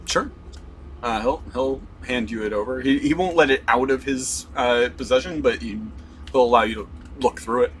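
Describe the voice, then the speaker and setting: A man running a tabletop game as game master, describing scenes slowly with many fillers. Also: medium pitch, a little hiss or hum.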